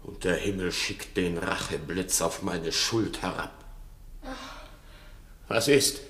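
An actor playing a proud, guilt-ridden king in a classical radio play, declaiming with weighty pauses. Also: sits low, a bit bright, full character voice. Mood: shaken, remorseful. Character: guilt-stricken, proud king, tyrannical then remorseful. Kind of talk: classical German radio play stage acting. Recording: echoey hall with long reverb.

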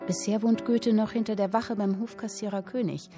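An actress as expressive woman reading a tale aloud. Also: mid-range voice, a little fast, over music, close to an everyday voice. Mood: measured, intent. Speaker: narrator reading a literary account. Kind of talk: dramatic literary narration in present tense.

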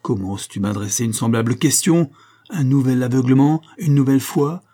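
Man voicing a passionate, husky young woman. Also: fairly low pitch, brisk, warm tone. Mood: indignant. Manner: imperious and emphatic, swinging to pleading. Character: passionate, proud young married woman pleading with her father.

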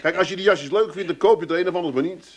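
An actor playing a wisecracking, suspicious grown son in a radio play, with an Amsterdam accent. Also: male voice, high voice, a little hiss or hum, natural voice. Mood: cheeky. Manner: cheeky, quick with a joke, suspicious.

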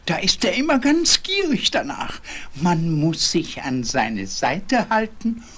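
An actor playing a grumbling, wistful old chained dog with a husky voice in a children's fairy-tale audio drama. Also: medium pitch, very animated, takes it slowly, fairly bright tone, full character voice. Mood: dreamy, relishing. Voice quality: husky, lost his fine voice.

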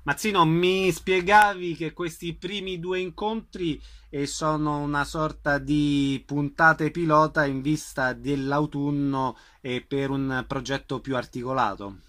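A man's voice: a little high, steady tone, unhurried, hardly any pauses, fairly bright tone. A man hosting a radio interview, asking questions and recapping event details. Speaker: radio host.

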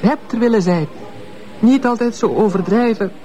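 A woman's voice: fairly high pitch, moderate pace, dark tone, close to an everyday voice. An actress with a Flemish accent playing a bitter, sardonic ex-wife in her fifties in a radio drama, speaking in a mocking, insinuating way. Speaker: witness, ex-wife.

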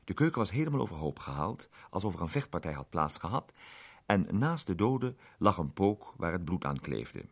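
A man narrating a detective story in a measured, expressive reading. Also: low-pitched, creaky, husky.

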